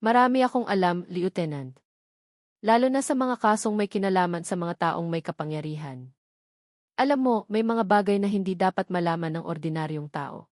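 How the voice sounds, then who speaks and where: A woman's voice: on the low side, moderate pace, a little mellow, dry recording, studio quality, close to an everyday voice. A woman voicing an ambitious male lawyer, smug, insinuating and menacing, in an audio drama story. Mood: veiled menace.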